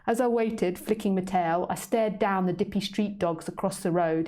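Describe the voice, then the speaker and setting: A cocky, vain tomcat tells his tale in working-class London slang, read in the plain voice of the woman who wrote it. The voice sits fairly low and even, and the delivery is steady storybook narration. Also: close to an everyday voice. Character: vain, proud male street cat, cocky.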